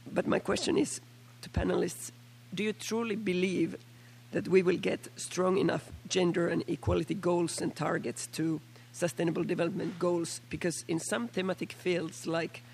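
Finnish woman MP, hoarse and gravelly, fairly high pitch but a flat monotone delivery, halting with fillers. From Finland. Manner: halting, with many fillers and restarts. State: hoarse from a flu. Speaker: member of parliament. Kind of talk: formal floor statement at a panel meeting.

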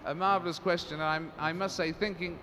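A man's voice: on the high side, steady tone, sharp tone. Formal man in a reverberant hall. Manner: formal and measured, with many restarts and fillers. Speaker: press conference moderator. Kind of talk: press conference remarks. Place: large assembly hall. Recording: reverberant hall, faint noise.

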